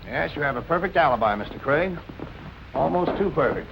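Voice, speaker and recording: An actor playing a skeptical police captain, clipped and authoritative, in 1940s film noir style. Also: male voice, fairly high pitch, expressive, a little fast, vocal fry, background noise. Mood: skeptical, firm. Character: brisk, skeptical police captain questioning suspects.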